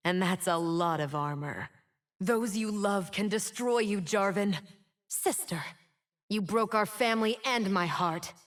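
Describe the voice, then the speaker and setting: A woman's voice: mid-range voice, a bit bright. An actress playing a bitter, wounded fallen winged woman in a video game, solemn and theatrical. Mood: brooding, solemn. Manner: slow, solemn, theatrical delivery. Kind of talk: dramatic video game champion voice lines.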